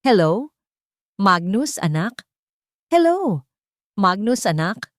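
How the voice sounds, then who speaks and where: A woman's voice: mid-range voice, very animated, takes it slowly, no room sound, studio quality, pitched above the performer's own voice. A woman voicing a haughty, rich old mother-in-law in an audio drama, falsely sweet and gloating, with pointed emphasis. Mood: triumphant eagerness. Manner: pointed emphasis, feigned concern.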